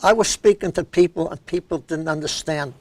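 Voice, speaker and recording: Blunt older New York host. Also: male voice, fairly high pitch, brisk, a little mellow, dry recording. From Brooklyn, New York. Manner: blunt and opinionated. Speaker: host of a local cable talk show. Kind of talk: opinionated interview chat.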